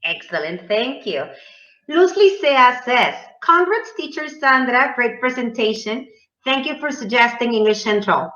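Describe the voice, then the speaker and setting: A woman's voice: on the high side, expressive, measured pace, a bit bright, dull audio. A woman moderating an online conference Q&A, asking questions and reading out audience comments, heard over a video call. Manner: reads audience comments, brief affirmations.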